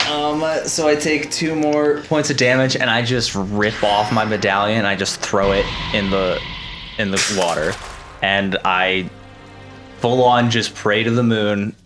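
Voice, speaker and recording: Male tabletop player, fairly low, informal and sweary, loose improvised delivery, soft score underneath. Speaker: player in a tabletop roleplaying game. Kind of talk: casual improvised game chat. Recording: faint music behind the voice. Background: background music score.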